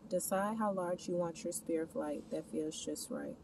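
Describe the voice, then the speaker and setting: A woman leading a scripted guided meditation, giving the listener soft step-by-step instructions and questions. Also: normal speed. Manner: instructional, addressing the listener with gentle imperatives and questions. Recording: distant mic, clear background noise, ambient rumble.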